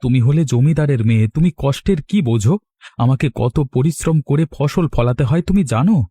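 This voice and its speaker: A man's voice: medium pitch, a little fast, mellow, breathy edge, no room sound, lightly acted. A man playing a poor, hardworking young farmer in a Bengali cartoon, humble, plain-spoken and resigned. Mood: skeptical, plain-spoken.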